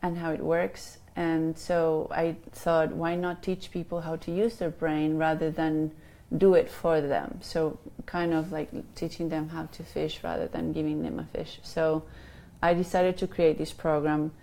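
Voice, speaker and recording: Low gravelly Chilean woman. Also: level tone, measured pace, mellow. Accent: Chilean Spanish. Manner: hesitant, many ums and restarts. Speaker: life coach. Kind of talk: interview answers.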